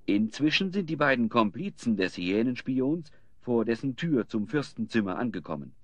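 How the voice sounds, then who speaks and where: A man's voice: medium pitch, sing-song, normal speed, lo-fi sound, natural voice. A man narrating a children's fantasy audio drama as a scripted storyteller. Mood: dramatic.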